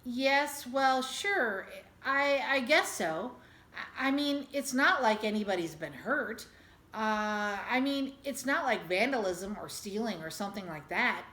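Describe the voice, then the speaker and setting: A woman's voice: a little high, lively intonation, measured pace, sharp tone. A woman reader voicing a mild, hesitant father in a children's storybook, speaking plainly. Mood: unsure. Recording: echoey room.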